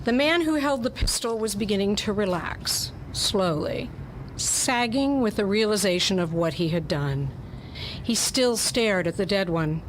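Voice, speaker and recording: A woman presents and narrates for a radio theater group in a medium-pitched voice. There is faint noise behind her in the studio. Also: poor audio. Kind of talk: casual radio interview and story narration.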